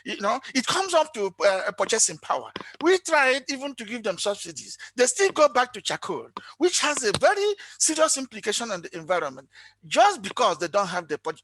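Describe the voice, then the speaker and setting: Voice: medium pitch, fairly bright tone, low-quality recording. A conference participant speaking off the cuff on an online call, explaining earnestly and posing rhetorical questions. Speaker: forum participant, economist. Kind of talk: unscripted conference intervention.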